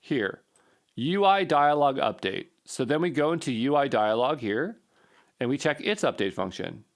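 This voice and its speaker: A man's voice: mid-range voice, expressive, normal speed. Casual man thinking aloud while coding. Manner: informal, muttering to himself while troubleshooting. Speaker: programmer recording a coding session.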